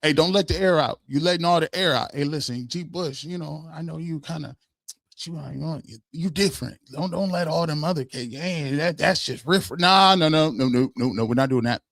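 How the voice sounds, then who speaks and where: A man talking casually on a live-stream podcast, animated and emphatic, full of slang and quick laughs. His voice sits a little high, and it is recorded dry and close. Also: gravelly, no echo. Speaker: podcast host.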